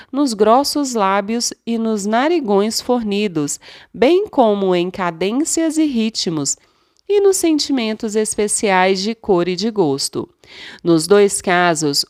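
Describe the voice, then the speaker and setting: A woman with a Brazilian Portuguese accent reading a nonfiction audiobook as scripted narration. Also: on the high side.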